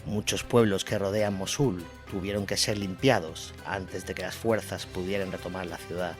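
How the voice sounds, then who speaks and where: A man's voice: sits low, fairly even intonation, moderate pace. A man narrating a scripted war story in a dramatic documentary style. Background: music.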